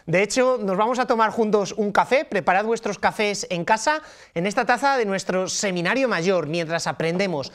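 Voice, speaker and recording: A man's voice: high voice, normal speed, fairly bright tone. A man in his thirties with a Castilian Spanish accent, enthusiastically and conversationally presenting a religious TV programme from a studio. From La Mancha, Spain. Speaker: host, priest and Bible teacher. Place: dark TV studio at a table. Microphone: studio microphone on a stand.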